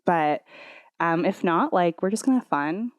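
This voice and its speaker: Woman, podcast co-host, lowish pitch, bubbly, rambling, dry close sound. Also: expressive, moderate pace, no room sound. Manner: bubbly, rambling, lots of fillers. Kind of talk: casual chat. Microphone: podcast microphone.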